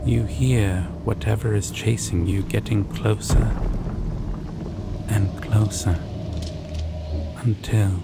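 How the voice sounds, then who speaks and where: A man narrating a scripted second-person story in an ASMR roleplay, deliberately, with dramatic pauses. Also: lowish voice, vocal fry. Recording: loud steady background noise.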